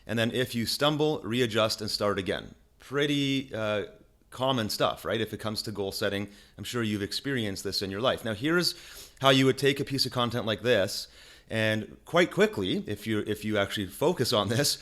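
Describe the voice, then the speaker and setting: A man with a low, deep voice teaches through a casual screen-recorded tutorial. He is chatty and energetic, restarts his phrases often and keeps checking in with the viewer. He speaks into a desk microphone. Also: normal speed. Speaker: online marketing instructor.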